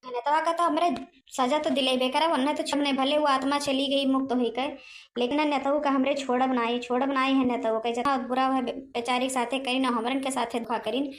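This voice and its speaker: A woman's voice: sits high, flat delivery, fairly quick, reverberant, close to an everyday voice. A woman with an Awadhi accent voicing chatty, indignant village women in an amateur cartoon, speaking emphatically. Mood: indignant, determined. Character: chatty indignant village sister-in-law, sympathetic gossip. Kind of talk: amateur Hindi cartoon dialogue.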